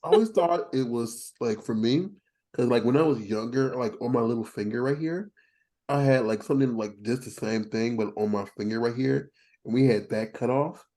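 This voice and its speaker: A man's voice: medium pitch, normal speed, mellow. A forty-year-old man chatting casually on a podcast, rambling and poking fun at himself. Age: 40s. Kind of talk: casual chat.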